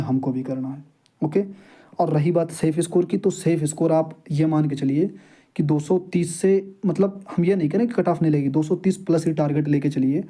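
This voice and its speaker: A young man speaks in a casual vlog style. His voice sits a little higher than average yet has a very mellow, muted tone. He explains things to viewers as a peer, often restating his point. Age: young adult. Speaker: exam-preparation YouTuber and candidate.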